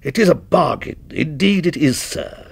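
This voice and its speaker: A man as an earnest shop associate, lively pitch. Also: moderate pace, no room sound. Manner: formal, emphatic, testimonial. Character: earnest male shop associate vouching for goods.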